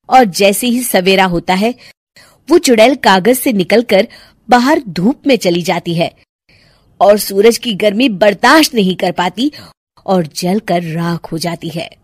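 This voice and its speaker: Slow-paced woman narrating a children's story. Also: mid-range voice, slightly rough, no echo, studio quality.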